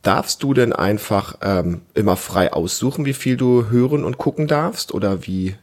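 Low-voiced man chatting with a child. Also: lively intonation, moderate pace. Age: 30s. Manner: explains simply, asks prompting questions. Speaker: parent co-hosting a family podcast. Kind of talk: casual chat with a child.

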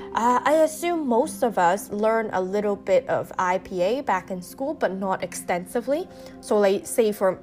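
A woman talks through a topic in a relaxed podcast style, her voice sitting at an ordinary pitch. She pauses often with fillers and restarts her sentences as she goes. Faint music plays quietly behind her. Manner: chatty, many fillers and restarts. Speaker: podcast host. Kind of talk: casual explanatory podcast talk.

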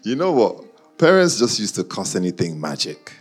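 A man's voice: fairly low pitch, big pitch swings, moderate pace, breathy edge, soft background music. A man with a London accent chatting casually and slangily to a live audience, teasing his listeners as he goes. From Nigerian, childhood years in Guyana, schooled in the UK. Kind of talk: casual chat with a live audience and online viewers.

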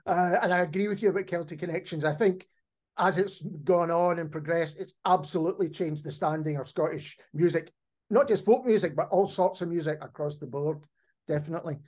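A man's voice: fairly high pitch, fairly quick, darkish tone. A man hosting a podcast, interviewing in a conversational, appreciative and affirming manner.

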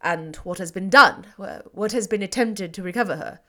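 A woman reading the part of an earnest young gentleman in an audiobook, speaking gently and gravely. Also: medium pitch, measured pace, natural voice. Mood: shocked, urgent.

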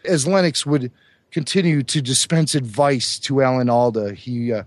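A man co-hosting a podcast, hoarse from a cold, chatting enthusiastically about film trivia. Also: medium pitch, moderate pace, no echo. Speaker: podcast co-host. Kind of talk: casual chat.

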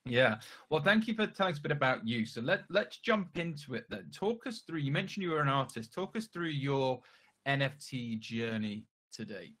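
A man's voice: medium pitch, lively intonation, normal speed, fairly bright tone. A man hosting an interview podcast in an upbeat, polite and organised way. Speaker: podcast host.

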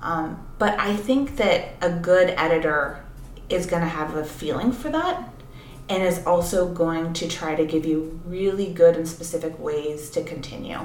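A woman's voice: fairly low pitch, measured pace, darkish tone. A woman co-hosting a casual podcast as an editor, explaining things in a thoughtful way. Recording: echoey room with background noise.

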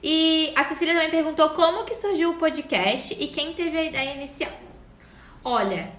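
Bright high-voiced woman host. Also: moderate pace. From Florianópolis, Brazil. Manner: lively, reads listener questions. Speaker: podcast host. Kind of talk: casual chat among friends. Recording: echoey room.

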